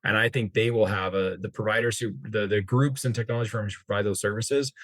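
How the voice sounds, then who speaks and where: A man's voice: on the low side, fairly even intonation, fast, a bit bright, dry recording. An American man speaking as an expert guest in an informal discussion, wordy and often rephrasing himself mid-sentence. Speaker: interview guest, healthcare industry executive.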